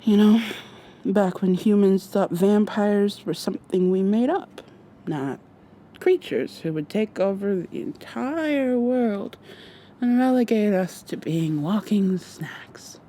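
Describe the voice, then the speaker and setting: Sarcastic captive human female, played by a woman, ASMR roleplay. Soft-toned, unhurried, deadpan and tired. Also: mid-range voice, slight background noise. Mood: sardonic. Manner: sardonic, deadpan, drowsy.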